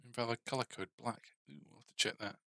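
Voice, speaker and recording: A man's voice: mid-range voice, flat delivery, fast talker, pushed and bright, no room sound, studio quality. A middle-aged man thinking aloud in casual fragments as he codes at his desk on a headset. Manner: muttering to himself in fragments. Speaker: game developer streaming his coding. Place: indoor desk. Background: keyboard typing, clicks, faint music.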